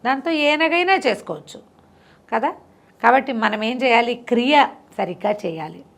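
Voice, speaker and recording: A woman's voice: fairly high pitch, lively intonation, moderate pace, vocal fry. A middle-aged woman tells a moral story conversationally, repeating phrases for emphasis and explaining the lesson simply.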